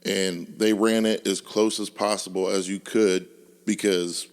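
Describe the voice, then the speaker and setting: A man in his late twenties co-hosting a podcast, chatting casually in a relaxed, slangy way and telling stories with frequent laughs. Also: sits low, a little slow, clear, resonant tone. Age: 20s. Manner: laid-back, slangy storytelling, laughs often. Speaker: podcast co-host. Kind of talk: casual chat.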